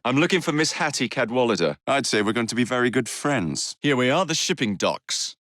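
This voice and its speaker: A flippant young Victorian assassin with an English accent. His delivery is animated and sardonic, full of swagger for a video game. The sound is very dry and clean of room. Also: mid-range voice, fairly quick, no echo. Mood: confident. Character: cocky, irreverent young Victorian London gang-leader assassin, man. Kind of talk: video game character voice lines.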